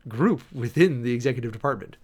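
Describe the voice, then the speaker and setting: A male streamer with an average-pitched voice reads formal text aloud and annotates it as he goes. His commentary is wry and sardonic, and his delivery moves between brisk reading and halting, emphatic remarks. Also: lively intonation, a little mellow. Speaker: streamer and preacher giving commentary.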